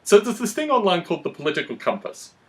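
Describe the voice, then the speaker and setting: A man's voice: high-pitched, wildly swooping pitch, fast. A man hosting a conversational discussion, explaining his views in a long-winded, analytical way. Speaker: podcast host.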